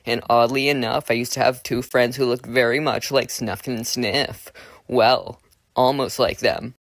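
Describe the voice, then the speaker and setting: A man voicing an ailing, middle-aged cartoon troll father who reminisces slowly and dramatically. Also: mid-range voice, fairly even intonation, vocal fry, a bit bright, no room sound. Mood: nostalgic narrating. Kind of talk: cartoon fandub, storytelling.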